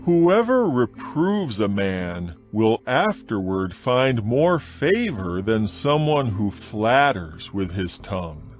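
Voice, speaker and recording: A man reading scripture aloud in a dramatized Bible recording, with a slow, measured and solemn delivery. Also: lowish voice, big pitch swings. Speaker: scripture reader. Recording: soft music bed behind voice. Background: faint background music.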